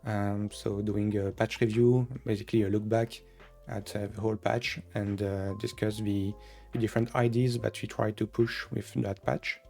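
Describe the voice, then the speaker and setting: A man hosting a casual developer stream, talking in a relaxed way with frequent fillers. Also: fairly low pitch, moderate pace, gravelly, soft background music. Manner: relaxed, filler-heavy, occasional laughs. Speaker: game developer and stream host. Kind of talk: casual dev stream chat.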